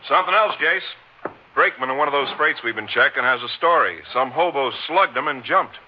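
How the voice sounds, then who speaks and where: Radio western support: plain-spoken county sheriff, homespun talk, medium register, muffled 1950s broadcast sound. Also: male voice, medium pitch, animated, fairly quick, husky. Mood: brisk. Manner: folksy, colloquial. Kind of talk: 1950s radio drama acting. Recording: muffled vintage radio recording.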